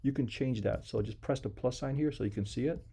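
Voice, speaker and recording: A man walks through software settings in an informal, unscripted way. His voice is mid-pitched and relaxed, with a noticeable creak. He explains each step simply and often repeats himself. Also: fairly quick. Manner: simple, repetitive step-by-step instruction. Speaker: software tutorial presenter. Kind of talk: casual unscripted screen-share walkthrough.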